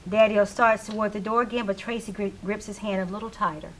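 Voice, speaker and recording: A woman's voice: medium pitch, fairly even intonation, fast, rough recording. A woman reading stage directions as scripted narration for a staged play reading. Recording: faint hiss, live room recording.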